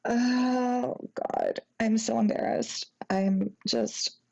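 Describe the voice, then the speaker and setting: A sheepish young woman , played by an actress, rambles apologetically to an old flame in an intimate audio roleplay. Her voice is soft, dark and relaxed, with very heavy creak, and the recording is slightly dull. She is halting and flustered, full of embarrassment. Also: on the high side, exaggerated voice. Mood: embarrassed, rambling. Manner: halting, rambling, embarrassed, breaking into nervous giggles. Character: sheepish, flustered, apologetic young ex-girlfriend after a drunken night. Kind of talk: intimate acted phone-call roleplay.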